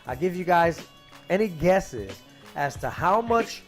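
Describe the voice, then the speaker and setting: Animated man hosting a podcast. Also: on the high side, measured pace, faint music behind. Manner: animated, profane, reads stats to cohosts. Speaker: podcast host. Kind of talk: casual chat.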